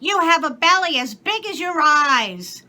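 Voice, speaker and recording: A woman's voice: high-pitched, very animated, a little slow, pushed and bright, pitched above the performer's own voice, full character voice. A woman voicing a scolding, exasperated household maid in a storybook, exclaiming as she speaks.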